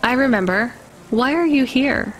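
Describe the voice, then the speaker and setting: An actress playing a practical, inquisitive young courier in a video game, talking in a wry, matter-of-fact way. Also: female voice, sits high, expressive, moderate pace, over music. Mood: calm, questioning.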